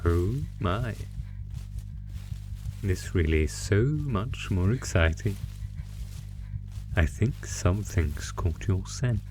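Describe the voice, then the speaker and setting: A man performs as an ancient male monster from a horror roleplay, low in pitch and extremely slow. The creature savors each phrase, theatrically taunting its prey with predatory glee, over background music. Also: expressive. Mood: gleeful, sinister.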